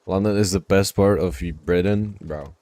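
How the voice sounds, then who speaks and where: Czech-accented man, game streamer, lowish voice, dry close sound, relaxed mumbled chatter. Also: moderate pace, no room sound. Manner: relaxed, mumbling asides, repeats short phrases. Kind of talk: casual live-stream chat while playing, reading chat aloud.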